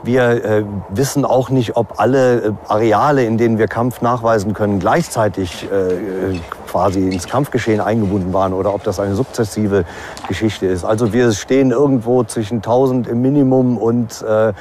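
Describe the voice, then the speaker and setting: A man's voice: fairly low pitch, steady tone, moderate pace, darkish tone. Man explaining, faint background noise. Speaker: expert interviewee. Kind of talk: unscripted explanation in interview.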